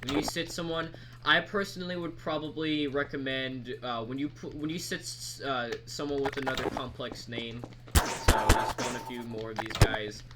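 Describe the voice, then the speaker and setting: A man giving a casual screen-recorded software tutorial, talking in a halting, rambling, informal way. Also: medium pitch, fairly even intonation. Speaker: mod creator presenting tutorial. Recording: background noise, keyboard typing.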